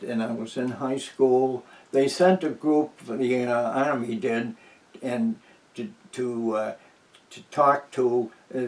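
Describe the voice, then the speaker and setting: Very old man, slow and rambling. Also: mid-range voice, darkish tone, distant mic, poor audio. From New Hampshire. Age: 90s. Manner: rambling, many restarts and fillers, chuckles. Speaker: interviewee, veteran. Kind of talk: oral history interview. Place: at home.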